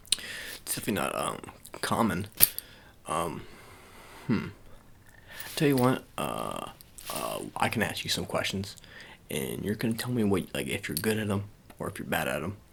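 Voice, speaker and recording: A man's voice: sits low, vocal fry, fairly bright tone, slight background noise, full character voice. A man playing an awkward, politely doubtful sports agent in an improvised ASMR roleplay, stammering and repeating his phrases as he talks to the listener.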